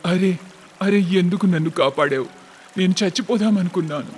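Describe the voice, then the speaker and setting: A proud, intense young businessman , acted by a man in a cartoon tale. He speaks emphatically and dramatically in a slightly high male voice over background music. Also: normal speed, darkish tone, close to an everyday voice. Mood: angry, despairing. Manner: emphatic, dramatic, repeats words.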